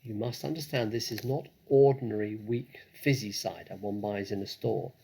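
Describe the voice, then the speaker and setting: A man reading a children's story aloud as an expressive narrator, with deliberate, dramatic phrasing. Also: lowish voice, vocal fry, breathy edge. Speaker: story reader.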